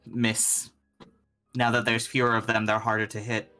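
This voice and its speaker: A game master narrating and making rulings in a casual, halting way during a tabletop game. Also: mid-range voice, monotone, pushed and bright, faint music behind.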